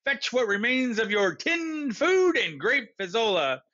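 A man's voice: extremely high pitch, sing-song, unhurried, pushed and bright, dull audio, voice pushed up, full character voice. A man voicing a sensible, decisive father in a storybook reading. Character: sensible father, good at math.

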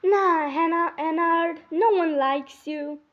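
A woman's voice: very high-pitched, slow. A young woman giving casual reaction commentary, talking back to a video in a teasing way, on a phone recording. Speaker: reactor to game voice lines. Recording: dull phone screen recording.

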